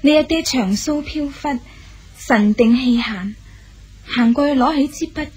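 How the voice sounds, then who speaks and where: An actress playing a lively, frank young orchard girl, polite and chatty, in a radio drama. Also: high voice, measured pace, slightly rough, low-quality recording. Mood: awed. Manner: eager, chatty. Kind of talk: Cantonese radio drama acting.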